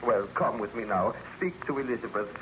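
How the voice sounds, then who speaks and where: An actor playing a cautious, disapproving gentleman friend with formal, earnest diction in an old-time radio drama. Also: on the low side, animated, fairly quick. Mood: reluctant. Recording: slightly noisy vintage radio recording.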